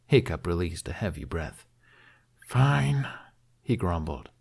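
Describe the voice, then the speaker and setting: A man's voice: on the low side, expressive, normal speed, darkish tone. A man narrating scripted fiction as an audiobook reader.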